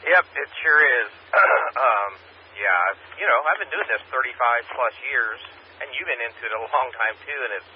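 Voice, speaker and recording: Older-ish guy chatting on ham radio, muffled w/ static, plain talk, kinda halting. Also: mid-range voice, lively intonation. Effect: two-way radio transmission. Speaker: amateur radio operator. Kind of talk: casual chat over ham radio. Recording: dull, noisy scanner feed of radio repeater.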